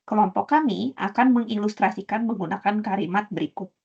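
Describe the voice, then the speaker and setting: A woman from Bogor giving a class presentation, calm with a few hesitant fillers. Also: mid-range voice, brisk, vocal fry, breathy edge, highs cut off. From Bogor, West Java. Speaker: student presenter.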